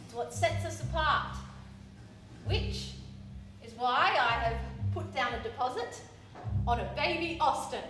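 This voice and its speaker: A woman's voice: high voice, unhurried, long pauses. A woman playing a driven, stubborn young woman who runs a garage, emphatic and self-assured, in a stage radio play. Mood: determined, proud. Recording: echoey theatre, distant mic, music underneath.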